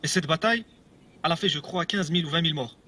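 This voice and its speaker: Bright fast-talking man, rambling. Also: a little high, slight background noise. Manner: rambling, hesitant, with nervous laughs and repeats. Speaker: ex-believer giving a testimony video. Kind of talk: casual video testimony.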